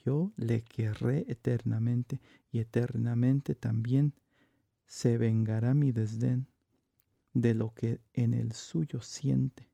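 A man performing a theatrical verse monologue as a jealous, lovestruck noblewoman from classical comedy, in measured, declamatory phrases. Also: mid-range voice, fairly even intonation, gravelly, warm tone, no echo. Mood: anguished, resolute.

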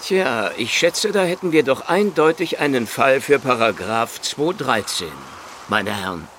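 An actor playing a condescending, sardonic East German state prosecutor in a radio drama, giving clipped, officious orders. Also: male voice, mid-range voice, lively intonation, a little slow, a bit bright, slight background noise.

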